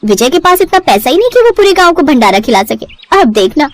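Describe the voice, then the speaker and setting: A woman's voice: sits high, sing-song, fast talker. A woman voicing a jealous, scheming young sister-in-law in a cartoon village story, haughty and spiteful. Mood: gloating, scornful.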